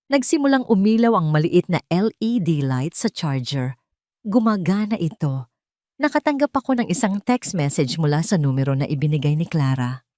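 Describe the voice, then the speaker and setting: A woman's voice: sits low, expressive, normal speed, mellow, no echo, studio quality. A woman reading a scripted, dramatic first-person story. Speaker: story narrator.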